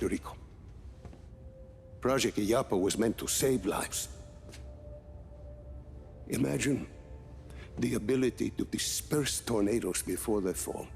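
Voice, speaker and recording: Measured older scientist. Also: male voice, medium pitch, creaky, darkish tone. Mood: wistful explaining. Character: older male scientist, thoughtful, proud, weary ex-prisoner plotter. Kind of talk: cinematic video game acting. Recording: music behind.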